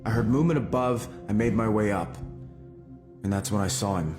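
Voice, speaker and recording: Man acting as hardened special forces operative, low voice, clipped and defiant, dramatic game cutscene, music behind. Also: fairly quick, close to an everyday voice. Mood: terse, grim. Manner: terse, clipped, matter-of-fact. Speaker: video game character.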